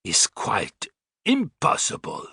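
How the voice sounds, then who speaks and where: A man's voice: mid-range voice, wildly swooping pitch, slow pace, sharp tone, slightly rough, no echo, heavily acted. A man voicing a tall, thin, grave man with a harsh German accent in an audiobook. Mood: grave disbelief. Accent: Teutonic (German).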